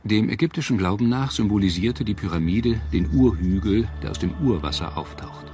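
A man reading scripted documentary narration in a measured, solemn manner. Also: fairly low pitch, a little mellow, faint music behind.

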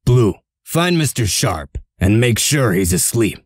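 A man's voice: lowish voice, sing-song, a little slow, no room sound, studio quality. A man voicing a stern, shrewd leader of a covert break-in in a comic dub, giving curt, authoritative orders. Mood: commanding.

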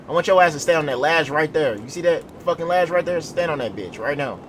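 A man's voice: fairly high pitch, lively intonation, fast, a bit bright, slight background noise. A young American man talking in profane, slangy game roleplay with a brash, emphatic manner. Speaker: streamer playing a gang member in online roleplay. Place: indoor gaming setup. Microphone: headset.

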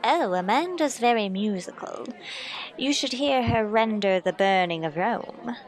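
A woman's voice: fairly high pitch, expressive, unhurried, rough recording. A woman voicing a pushy, gushing matchmaking society matron in an old-time radio play. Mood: socially eager.

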